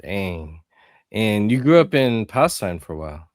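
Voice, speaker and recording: A man hosting a podcast, asking questions in a relaxed, easygoing way with plenty of uhs. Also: lowish voice, moderate pace, dry recording, clean studio sound. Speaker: podcast host. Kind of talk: casual interview chat.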